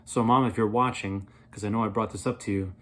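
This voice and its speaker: A man in his thirties reviewing a product in a casual, playful way, rambling as he thinks aloud, with a slightly husky voice. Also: fairly low pitch, fairly even intonation, quick pace, a bit bright. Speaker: beer reviewer, vlog host.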